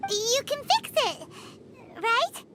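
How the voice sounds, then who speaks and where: A woman voicing a tiny, excitable childlike fairy companion in a video game, squeaky, bubbly and blunt. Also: very slow, very noisy, heavily acted. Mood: cheeky, questioning.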